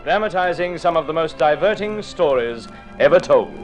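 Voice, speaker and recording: A man's voice: fairly high pitch, expressive, normal speed, close to an everyday voice. A man narrates an old radio drama in a formal, theatrical storyteller's style, with an Australian accent. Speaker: narrator and presenter. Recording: vintage radio recording with music.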